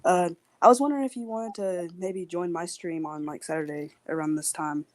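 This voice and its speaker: A woman guest on a live stream, asking questions quickly and a little hesitantly. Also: fairly low pitch, fairly even intonation. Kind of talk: casual chat.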